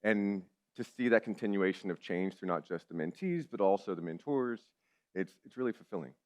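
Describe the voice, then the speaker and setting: A man speaking sincerely on a panel about mentoring others, in a steady, personal manner. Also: on the low side, brisk. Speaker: panelist, corporate volunteer mentor lead. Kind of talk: panel discussion answer. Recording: dull lo-fi audio.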